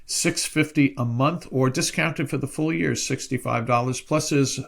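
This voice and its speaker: A man with a gravelly voice at an ordinary pitch hosts a podcast. He gives short agreements as his guest talks and reads promos with an upbeat push. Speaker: podcast host.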